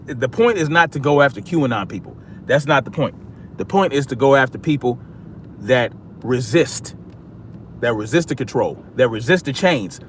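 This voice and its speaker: American man, higher-pitched, emphatic livestream commentator. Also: fairly bright tone, slight background noise. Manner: emphatic, repeats phrases for stress. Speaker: livestream host. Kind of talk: casual livestream political commentary.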